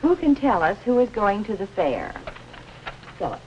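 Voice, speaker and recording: A woman's voice: medium pitch, very animated, moderate pace, lo-fi sound, lightly acted. A woman playing a kindly, orderly primary school teacher in a 1950s educational film, patiently instructing young children. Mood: patient, instructive. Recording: noisy, band-limited 1950s film soundtrack.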